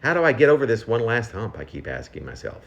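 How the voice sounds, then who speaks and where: A man's voice: mid-range voice, big pitch swings, fast talker, creaky, fairly bright tone. A man reading his own confessional poem aloud in a conversational, reflective and self-questioning manner. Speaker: poet reading own work.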